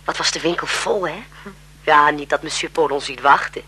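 An actress playing a deferential, inquisitive governess, chatty and polite, in 1970s radio drama style. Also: female voice, low voice, moderate pace, sharp tone, husky, exaggerated voice. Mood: chatty, curious.

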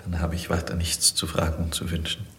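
A stoic, devoted young prisoner with a low voice. He speaks gravely and with measured defiance, in old-fashioned German radio drama style. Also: monotone, a bit bright. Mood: grave, guarded. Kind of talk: 1950s German radio play acting.

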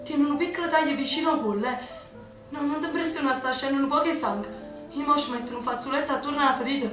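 A woman's voice: sits high, quick pace, lightly acted. A young woman with a Neapolitan accent acting a brave, tender partisan girl in a radio drama. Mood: tender hushed. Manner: pleading, emotional, dialect-heavy delivery. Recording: roomy, distant mic, music underneath.

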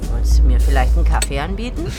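A woman's voice: very deep voice, very animated, rapid-fire, over loud music. An actress playing a carefree, impulsive young party woman in a radio drama, casual, flippant and blunt. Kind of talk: German radio drama dialogue.

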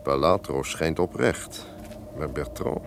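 An actor playing a guilt-ridden, suspicious castle lord, theatrical and declamatory, in an old-fashioned radio drama. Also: male voice, rumbling low, slow, heavily acted. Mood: brooding, scheming. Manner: theatrical, declamatory, swinging from barking orders to brooding. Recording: noisy old radio play recording.

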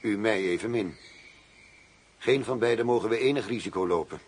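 An actor playing a cool, demanding arms buyer in a radio drama, formal, firm and self-assured. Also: male voice, on the low side, moderate pace, slightly rough. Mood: firm, businesslike. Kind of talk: Dutch radio drama acting. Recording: noisy old radio drama recording.

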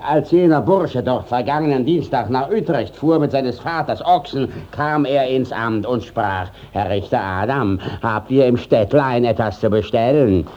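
An actor playing a shifty village judge, blustering and stalling theatrically in a 1950s German radio comedy. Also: male voice, mid-range voice, measured pace, hardly any pauses, darkish tone, natural voice. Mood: scheming, self-justifying. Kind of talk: 1950s German radio play acting of classic verse comedy. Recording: dull 1950s radio drama recording.

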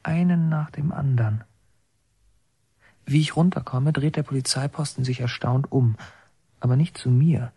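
A son narrating his childhood in a radio drama. The voice is husky and mid-pitched, recorded dry. He observes each detail in literary present tense. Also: dark tone, no echo. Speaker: first-person narrator.

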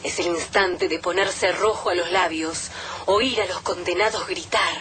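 A woman's voice: fairly low pitch, fairly even intonation, measured pace, crowd noise. A woman doing a scripted reading in a radio production. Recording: faint background noise.

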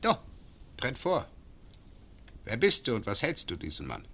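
A cruel, imperious bailiff in an old radio drama, who taunts his victims in a slow, gravelly, bright voice. His pitch sweeps widely as he toys with them. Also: wildly swooping pitch, full character voice. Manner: cold, mocking, imperious. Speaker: actor in radio play. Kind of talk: classic radio drama acting.

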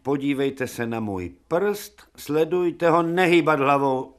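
An actor playing an authoritative medical professor in a radio drama, giving curt orders with dry irony. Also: male voice, a little high, lively intonation, lightly acted. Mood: commanding. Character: brusque, authoritative male medical professor examining patients.

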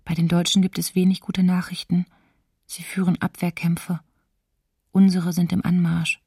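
A woman's voice: fairly low pitch, flat delivery, normal speed, a little mellow, husky, no room sound, studio quality. An actress playing a lonely, fearful woman diarist in wartime winter, sombre and restrained, in a documentary radio play. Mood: bleak, resigned. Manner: sombre, restrained, short plain sentences.

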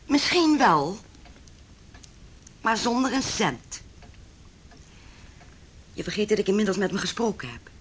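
A woman's voice: medium pitch, sing-song, measured pace, long pauses, slightly rough. An actress playing a cold, wealthy middle-aged mother in a Dutch radio play, speaking in a formal, condescending, cutting way. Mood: calculating. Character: haughty, cold, wealthy mother opposing her son's marriage.

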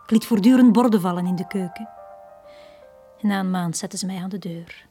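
A Flemish actress playing a lonely, downtrodden young bar girl in a radio drama, speaking in a hushed, halting, confiding way. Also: medium pitch, soft background music, close to an everyday voice. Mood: melancholy. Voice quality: breathy, hushed.

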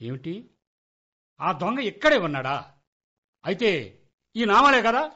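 A man's voice: high-pitched, big pitch swings, unhurried, bright, forceful tone, heavily acted. An actor playing a blustering, hot-tempered middle-aged father in a radio comedy, exasperated and stubbornly emphatic. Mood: suspicious, bewildered. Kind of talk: Telugu radio comedy play acting. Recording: dull lo-fi radio recording.

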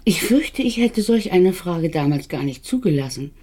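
Earnest, husky, low-voiced female assistant. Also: very animated, normal speed, mellow. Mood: anxious, confessional. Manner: hesitant, searching, dropping into whispers. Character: earnest, conscience-stricken woman, a doctor's long-time assistant. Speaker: actress. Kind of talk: German radio drama dialogue acting.

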